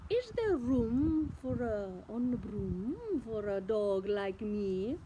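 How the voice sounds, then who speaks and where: A woman's voice: a little high, big pitch swings, takes it slowly, muffled recording, very noisy. A woman voicing a keen, polite storybook dog with an eager, woofing manner.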